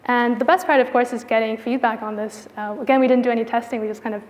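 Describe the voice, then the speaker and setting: A woman's voice: on the high side, fairly even intonation, fast talker, darkish tone. A woman giving a conference presentation as a product designer, talking in a casual, conversational way with informal filler phrases. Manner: casual, informal phrasing with frequent "like" and "you know". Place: indoor conference venue. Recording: reverberant hall with long echo.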